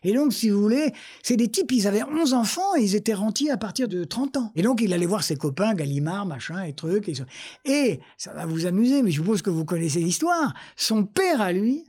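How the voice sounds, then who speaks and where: A man's voice: high voice, sing-song, fairly quick, darkish tone, husky. A man. He speaks as an academic being interviewed, wandering between anecdotes. He repeats phrases for emphasis and plays himself down. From France. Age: 70s. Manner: digressive, self-deprecating, emphatic repetitions. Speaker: interview guest, academic researcher.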